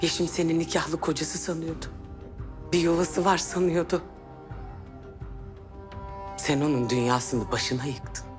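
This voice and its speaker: An earnest, reproachful woman in a TV drama. Her voice is on the low side, emphatic and pleading, with music behind. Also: fairly even intonation, a little slow, long pauses. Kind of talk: TV drama acting.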